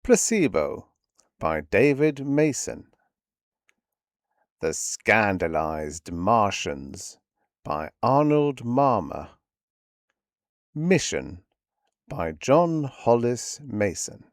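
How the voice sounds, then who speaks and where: A man reading story titles and authors as scripted audiobook narration, in a deliberate announcing manner. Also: fairly low pitch, big pitch swings, dry recording, clean studio sound.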